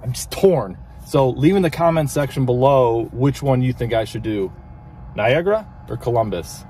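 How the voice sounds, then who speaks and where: A man's voice: mid-range voice, animated, moderate pace, a little mellow, slight background noise. A man in his thirties from Ohio, filming himself at arm's length and making self-mocking jokes to the camera. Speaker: vlogger. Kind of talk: casual self-filmed vlog. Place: home garage and parked car. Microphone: handheld camera at arm's length.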